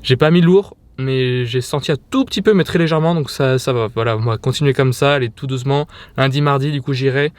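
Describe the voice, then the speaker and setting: A man's voice: mid-range voice, fast talker, hardly any pauses. A young man casually talking to camera in his bedroom, hesitant, with many fillers and restarts. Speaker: fitness vlogger. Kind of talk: casual vlog talk to camera.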